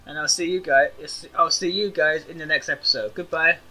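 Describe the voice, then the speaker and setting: Chatty man with a bright, high voice. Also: fairly even intonation, moderate pace, low-quality recording. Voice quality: self-described high-pitched voice. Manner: chatty, thinking aloud to viewers. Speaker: gaming channel host. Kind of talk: casual let's-play commentary.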